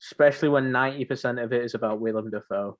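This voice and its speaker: A man's voice: mid-range voice, fast talker, highs cut off. A man co-hosting a podcast in unscripted casual chat, rambling along in a slangy, jokey way. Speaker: podcast co-host.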